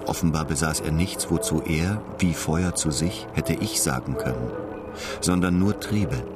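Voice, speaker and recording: A man with an extremely deep voice narrates a radio drama from a script. Loud music plays behind him. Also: unhurried.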